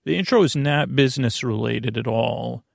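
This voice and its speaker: A man hosting a sleep podcast in a meandering, halting monologue, often losing his thread. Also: medium pitch, gravelly, dry recording, studio quality. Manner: meandering, halting, loses his train of thought. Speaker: podcast host.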